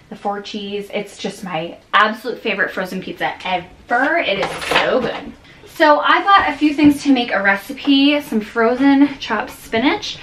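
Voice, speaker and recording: A woman's voice: medium pitch, fairly bright tone, slight background noise. A woman in her thirties chatting casually to camera in her home kitchen, rambling with many restarts and fillers, sounding tired. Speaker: vlogger. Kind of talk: casual grocery haul chat. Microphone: camera on a counter at close range.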